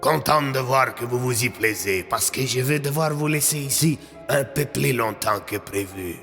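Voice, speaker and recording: A menacing male gang boss acted out by a man in an audio drama, taunting and commanding. The voice is mid-pitched and gravelly, with long reverb and faint music behind it. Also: normal speed, a bit bright. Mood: mocking menace.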